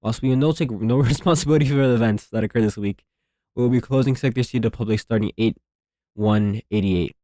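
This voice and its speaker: A man giving casual, rambling commentary as a host, slangy, repeating himself and thinking out loud. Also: mid-range voice, a little fast, no echo. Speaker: theory video host reacting to horror series.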